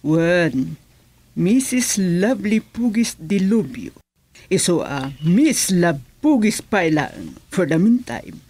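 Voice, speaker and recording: A woman's voice: lowish voice, big pitch swings, slow pace, warm tone, breathy edge, exaggerated voice. An actress playing a coquettish, fussy village lady in a radio comedy drama, speaking with an Ilocano accent in an affected way. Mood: coy, flirtatious. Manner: coy, affected, peppered with English phrases.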